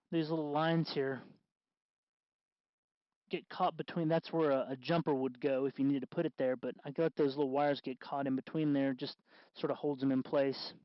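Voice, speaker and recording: A man hosting a casual hands-on DIY tutorial, explaining in a halting, unscripted way, with slightly muffled sound. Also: a little high, no room sound. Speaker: host of DIY electronics tutorial.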